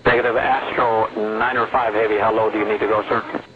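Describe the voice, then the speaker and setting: A man's voice: fairly low pitch, level tone, a little fast. A man working as an airport tower controller, giving clipped, procedural air traffic instructions over radio. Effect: radio transmission. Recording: very tinny, clearly noisy aviation radio.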